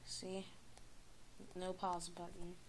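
Woman, casual, rambling, hesitant, slow, phone camera, carpeted room. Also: fairly low pitch, monotone, a little hiss or hum, rough recording. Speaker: toy video maker. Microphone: phone camera near the floor.

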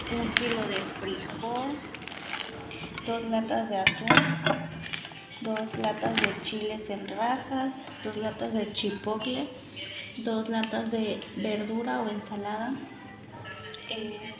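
Woman, slow list reading, higher voice, off-mic in an echoey room over loud music. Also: steady tone, recorded from a distance. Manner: slow, itemizing. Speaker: vlogger's family member.

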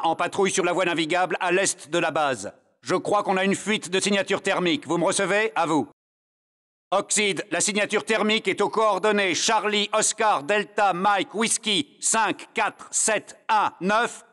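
A man's voice: sits high, normal speed, sharp tone, big-room sound. A man playing an alert soldier on patrol in a video game, reporting in clipped, precise radio language. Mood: alert, urgent.

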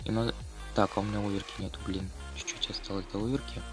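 Low-voiced man doing casual game commentary. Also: level tone, fast, over music. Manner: informal, slangy running commentary addressed to viewers. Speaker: gaming video host.

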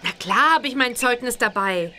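A woman voicing a cheerful, confident young witch girl in a children's cartoon game, lively and exclamatory. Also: fairly high pitch, normal speed, faint music behind.

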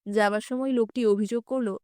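A woman narrating a literary short story in a measured, restrained yet emotive way. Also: on the high side, fairly even intonation, mellow, dry recording, studio quality. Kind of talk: dramatic reading of literary short fiction.

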